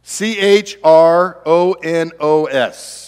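A man's voice: on the high side, extremely slow, a little mellow, clean tone, big-room sound. A man in his fifties preaching a sermon as pastor, in a conversational way with chatty asides to the congregation. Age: 50s. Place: church sanctuary.

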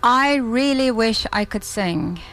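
A woman's voice: on the high side, lively intonation, slow pace, a bit bright, faint music behind. A woman from Turkey, a guest at a podcast interview, earnest and searching for words. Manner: earnest, searching for words, self-taught English. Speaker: film festival organizer. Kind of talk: casual interview.

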